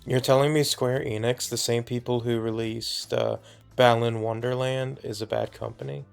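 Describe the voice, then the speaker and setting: Gravelly, deadpan podcast co-host man. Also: medium pitch, normal speed, faint music behind. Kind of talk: casual podcast chat.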